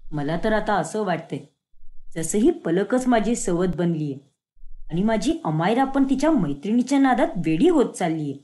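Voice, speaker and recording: A woman's voice: mid-range voice, very animated, moderate pace, dark tone, pitched below the performer's own voice, natural voice. A woman voicing a harried young man caught between two women, pleading and exasperated.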